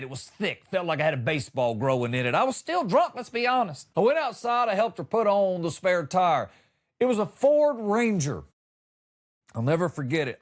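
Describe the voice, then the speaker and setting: A middle-aged man hosting a comedy podcast, telling stories in a folksy, colloquial and punchy way with comic exasperation. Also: fairly high pitch. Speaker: comedy podcast host and former radio entertainer. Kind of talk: comedic storytelling monologue.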